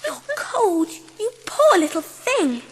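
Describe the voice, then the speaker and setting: Casting: gentle British schoolgirl of seven, light high voice, animated, polite and earnest, for dramatised storybook. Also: slow, big-room sound, noisy background, full character voice. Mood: tender, delighted. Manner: well-spoken, sweet, earnest. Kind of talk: dramatised audiobook acting.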